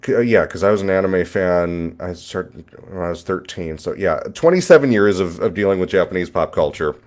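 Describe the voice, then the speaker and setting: A man's voice: low-pitched, expressive, brisk, a little mellow. A man in his forties in a casual chat, dry and reflective, often restarting his sentences. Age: 40s.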